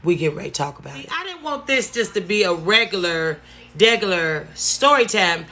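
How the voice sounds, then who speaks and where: A woman's voice: medium pitch, sing-song, normal speed, pushed and bright. A woman, talking casually to her viewers and stressing points by repeating words. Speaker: vlogger. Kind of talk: casual story-time talk to camera.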